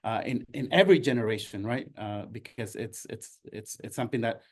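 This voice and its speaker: A man's voice: mid-range voice, a little fast. A man in his forties, born in Ecuador, presenting a workshop in an earnest way with frequent fillers and restarts. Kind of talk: presentation talk.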